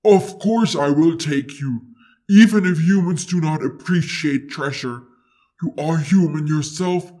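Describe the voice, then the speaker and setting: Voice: mid-range voice, measured pace, a little mellow, natural voice. A narrator voicing a huge, proud, possessive dragon in an audiobook story, with imperious, stilted formal speech. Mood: cross, sarcastic.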